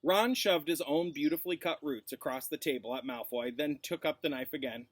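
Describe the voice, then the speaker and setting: A man, a teacher, reading a storybook aloud in an expressive narrating style. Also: fairly high pitch, a little fast, no echo.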